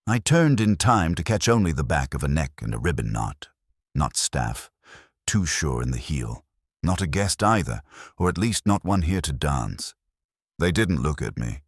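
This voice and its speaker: A man reading a first-person detective story as an audiobook narrator, in a measured hardboiled style. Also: rumbling low, no echo, clean studio sound.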